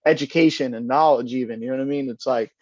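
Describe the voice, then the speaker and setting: A man's voice: mid-range voice, fast talker, a little mellow, dry recording. A man hosting an interview, a fellow producer, talking casually and affirming his guest often. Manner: affirming, frequent "yeah" backchannels. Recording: dry, dull lo-fi sound.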